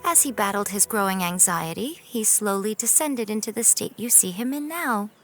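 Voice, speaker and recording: A composed, gently teasing woman from a video game , played by an actress. She has a mid-pitched voice and recounts things with knowing poise over faint music. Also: animated, moderate pace, lightly acted. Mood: knowing, wry. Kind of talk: dramatic video game acting.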